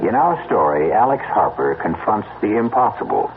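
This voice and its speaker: Casting: male suspense radio host, deep, deliberate, dramatic, narrow vintage broadcast sound. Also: very animated, slight background noise. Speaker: host and narrator. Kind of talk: scripted suspense radio narration. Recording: tinny vintage radio, music behind.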